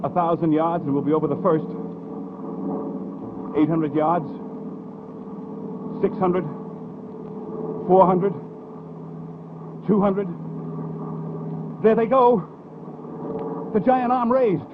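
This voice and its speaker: Tense bomber pilot over noisy radio. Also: male voice, sits high, steady tone, slow pace, long pauses. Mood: desperate resolve. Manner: terse, clipped military reporting. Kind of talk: radio drama acting. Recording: very noisy, tinny military radio.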